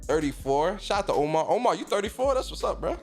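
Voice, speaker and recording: A man in his thirties chats on a gaming livestream, reading viewer messages and tossing off profane, laughing shout-outs. His voice sits a little on the high side. Faint music plays under him. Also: big pitch swings, fast talker, vocal fry. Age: 30s. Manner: loose and swearing, laughs often, shout-outs to chat. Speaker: streamer and podcast host. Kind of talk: casual livestream chat reading viewer comments.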